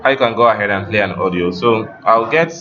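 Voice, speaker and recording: A man giving an informal, step-by-step software tutorial as an instructor, repeating phrases as he explains. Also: fairly low pitch, a little fast. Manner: repetitive step-by-step explaining, checking understanding. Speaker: web development instructor. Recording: roomy, dull audio with music behind.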